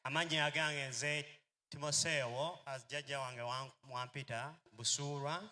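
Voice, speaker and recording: Bright-voiced man, rambling. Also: mid-range voice, fairly even intonation, moderate pace, a little hiss or hum. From Uganda. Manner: rambling, warm storytelling with many fillers. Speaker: convention speaker. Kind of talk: informal speech to a crowd.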